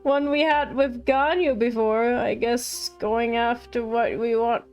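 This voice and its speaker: A woman game streamer giving casual, halting commentary, musing aloud as she plays. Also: a little high, steady tone, darkish tone, clear, resonant tone, dull audio, faint music behind. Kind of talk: casual live gameplay commentary.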